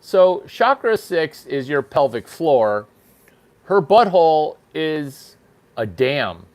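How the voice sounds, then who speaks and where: A man talks slowly through a crude, rambling comedy riff, delivering bathroom humor as if giving a serious lecture. His voice sits a little high for a man and swings widely up and down, with sharp leaps in pitch when he stresses a point. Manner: animated pitch jumps for emphasis. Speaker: channel host. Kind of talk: crude improvised comedy monologue.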